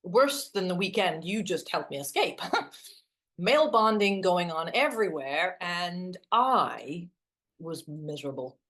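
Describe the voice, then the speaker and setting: A woman's voice: lowish voice, lively intonation, measured pace, a bit bright. A woman acting in a naturalistic drama as a wry, self-deprecating best friend who sighs, hesitates and teases. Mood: nostalgic, wry.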